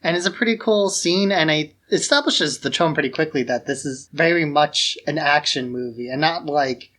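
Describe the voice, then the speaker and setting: A man's voice: a little high, moderate pace, a bit bright, slight background noise. Informal man chatting on a film podcast. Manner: informal, frequent filler "like". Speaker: podcast co-host. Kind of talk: casual conversational film discussion.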